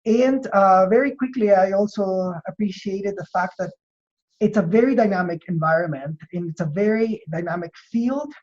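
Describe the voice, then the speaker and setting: A man from Chile with a Chilean accent explains his work in an interview, in measured, hesitant phrases with repeated words. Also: high-pitched, a little mellow. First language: Spanish. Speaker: university administrator being interviewed. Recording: dull, lo-fi call audio.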